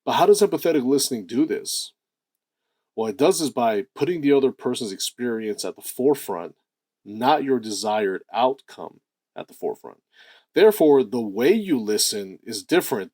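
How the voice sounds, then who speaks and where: A man with a deep voice giving a lively motivational talk, stressing key words and slowing down to draw out phrases for effect. The recording is dry and close, with no room sound around him. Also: no room sound. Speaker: self-improvement channel host.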